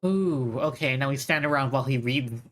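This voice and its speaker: A woman's voice: very deep voice, normal speed. A woman live streamer giving casual, informal, reactive commentary while she plays a game.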